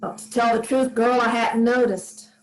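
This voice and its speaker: Woman reading old farm widow, dry, wry, plainspoken, stubborn, slightly high voice. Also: fairly even intonation, normal speed, close to an everyday voice, low-quality recording. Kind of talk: staged play reading.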